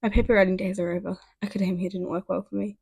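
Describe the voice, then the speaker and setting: A defensive science teacher from a storybook, a man read by a woman. The delivery is conversational and indignant, with wry edges. The voice is creaky and closely recorded without room sound. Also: medium pitch, level tone, fast, darkish tone, no room sound.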